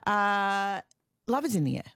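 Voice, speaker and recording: A woman's voice: medium pitch, big pitch swings, a little slow, fairly bright tone, clear, resonant tone, dry recording. An Australian woman hosting a local radio show in a studio, chatty and jokey, repeating quiz clues and phone numbers. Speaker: radio host. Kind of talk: breakfast radio presenting. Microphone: studio mic.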